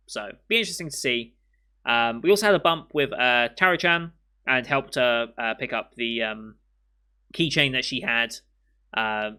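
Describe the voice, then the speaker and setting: A man hosting an anime reaction channel, thinking aloud in casual commentary at home with his voice worn hoarse. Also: medium pitch, pushed and bright. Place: home room with windows. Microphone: studio mic in frame.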